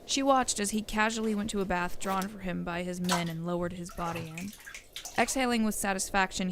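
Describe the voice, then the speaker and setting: A woman's voice: lowish voice, gravelly, background noise. A woman narrator reading a scripted audio story. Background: music.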